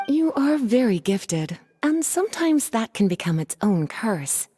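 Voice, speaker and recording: A woman's voice: a little high, very animated, unhurried, mellow, a little hiss or hum. Coy, teasing scholarly woman with lilting melody. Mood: warm, reflective. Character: teasing, elegant young woman scholar, playful older-sister temper. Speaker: video game character voice. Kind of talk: scripted game dialogue acting.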